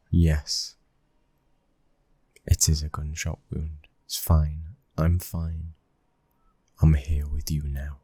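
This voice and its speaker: Man playing British mafia prince, bottom-of-the-range pitch, loving yet commanding, breathy, intimate ASMR roleplay, close and dry sound. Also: a little mellow, no echo, heavily acted. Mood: reassuring. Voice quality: breathy, husky. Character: smooth-talking, loving, dominant young mafia boss's son. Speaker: audio roleplay voice actor. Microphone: close microphone.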